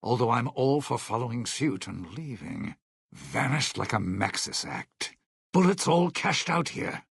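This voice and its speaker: Casting: a world-weary, sardonic showman in a video game; husky male voice, dry close sound, old-time slang and sly patter. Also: medium pitch, sing-song, measured pace, fairly bright tone, dry recording, full character voice. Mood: weary, wry. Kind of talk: video game companion voice lines.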